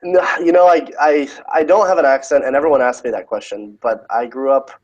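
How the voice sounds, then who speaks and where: A man's voice: medium pitch, steady tone, fairly quick, a little mellow, dull audio. A young American man with a light Midwest accent, chatting casually as a guest and laughing often. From United States. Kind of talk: casual chat.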